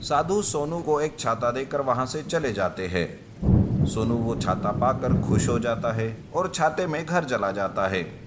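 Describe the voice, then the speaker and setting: Male storyteller over loud background noise. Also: medium pitch, expressive, unhurried. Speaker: story narrator. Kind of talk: scripted narration of children's moral story.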